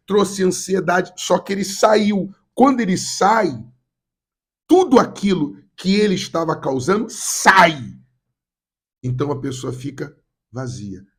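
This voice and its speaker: Male pastor, Rio accent, husky, higher-pitched, emphatic repetitive preaching, nursing a cold. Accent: Brazilian Portuguese, Rio de Janeiro. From Brazil. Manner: repetitive, emphatic preaching with direct address to the congregation. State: has a cold. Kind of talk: sermon. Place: church.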